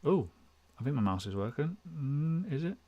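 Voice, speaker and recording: A man's voice: fairly high pitch, sing-song, normal speed, dull audio. A man hosting a casual live question-and-answer stream, chatty and self-deprecating, rambling through asides. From United Kingdom. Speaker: crypto livestream host.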